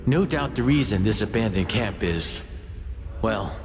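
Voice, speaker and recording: An actor voicing a calm spirit guide in a video game, with a measured, formal delivery. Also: male voice, fairly low pitch, a little hiss or hum. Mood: calm, watchful. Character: calm male spirit guide of a magic gauntlet. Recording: long reverb, loud music behind.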